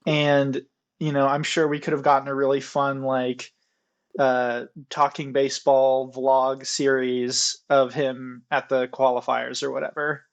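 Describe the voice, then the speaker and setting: A man's voice: medium pitch, monotone, a little slow, highs cut off. A man in casual podcast chat, building on the other speaker's points.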